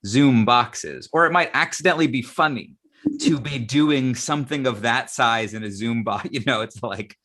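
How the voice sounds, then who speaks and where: A man's voice: medium pitch, normal speed, pushed and bright. A man hosting a casual discussion, speaking conversationally and building his thoughts in short pieces. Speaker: theatre company host. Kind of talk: casual live online discussion.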